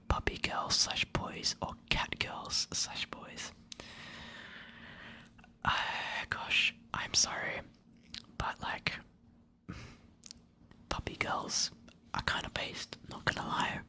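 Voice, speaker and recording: An ASMR creator whispering answers to subscriber questions in a soft, hesitant, apologetic way. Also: slow pace, pauses often.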